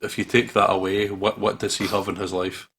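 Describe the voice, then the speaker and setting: A man co-hosting a casual let's play. His voice is low and full of creak, with a forceful edge, and he talks in a joking, theatrical way. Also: little pitch movement, brisk, a little hiss or hum. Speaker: gaming video co-host.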